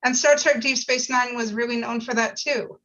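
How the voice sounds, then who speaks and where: A woman's voice: a little high, fairly even intonation, moderate pace, fairly bright tone. A woman talking earnestly in a casual discussion, explaining her thoughts with frequent filler words like "you know". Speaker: panel participant. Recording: dull lo-fi audio.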